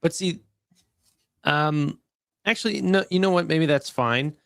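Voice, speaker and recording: A man co-hosting a casual live discussion, giving opinions informally and haltingly. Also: fairly high pitch, creaky, fairly bright tone, dry recording, studio quality. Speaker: live stream co-host. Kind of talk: casual chat commentary.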